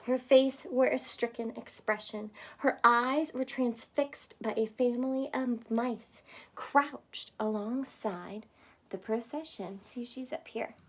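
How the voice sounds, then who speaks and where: A woman with a fairly high voice reads a children's storybook aloud. Her delivery is dramatic and expressive, clearly pitched at young listeners. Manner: expressive, pausing to explain words simply. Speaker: storybook reader.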